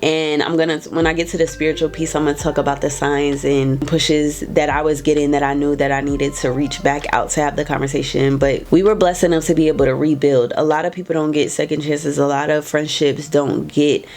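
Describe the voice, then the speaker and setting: Low-voiced woman, nasal from a cold. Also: level tone, fairly quick, few pauses, darkish tone, slight background noise. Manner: earnest, emphatic, repeats phrases for emphasis. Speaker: podcast host. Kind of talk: casual reflective talk to viewers.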